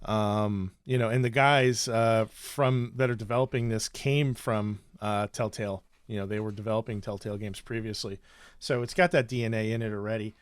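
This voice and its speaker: A man's voice: lowish voice, steady tone, normal speed, clear, resonant tone, dry recording, studio quality. A man co-hosting a podcast, talking in a casual, conversational style. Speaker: podcast co-host. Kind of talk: casual chat.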